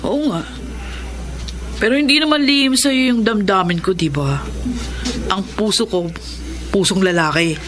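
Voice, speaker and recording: A woman's voice: mid-range voice, unhurried, long pauses, a bit bright, close to an everyday voice. A woman playing a caring, protective tomboy in a Filipino drama, speaking sincerely and consolingly. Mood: reluctant, candid. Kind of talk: Filipino radio-style drama acting.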